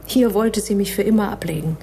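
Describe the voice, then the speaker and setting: A woman's voice: medium pitch, a little fast, dark tone, natural voice. A woman playing a tough secret agent in her thirties in a radio play, matter-of-fact about grim business. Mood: grim, urgent. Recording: radio play with faint background noise.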